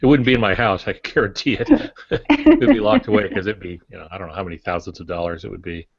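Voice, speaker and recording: A man's voice: low voice, sing-song, fast talker, vocal fry, a crowd in the background. An older man chatting casually as a podcast co-host, on a dull lo-fi recording. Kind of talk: casual chat.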